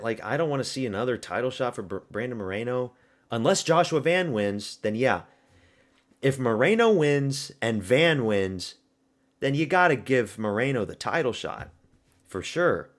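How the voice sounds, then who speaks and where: A man from the American South hosting a fight watch party stream, talking loosely and profanely with his viewers. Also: medium pitch. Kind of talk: casual live-stream commentary.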